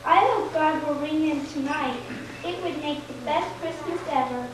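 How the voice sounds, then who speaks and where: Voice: sits high, moderate pace, close to an everyday voice. A performer playing an earnest, hopeful, devout young child in a puppet show. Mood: curious, hopeful. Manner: earnest, wishful. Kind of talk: stage puppet show dialogue. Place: church, recorded from afar. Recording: very echoey, distant, loud-noise church recording.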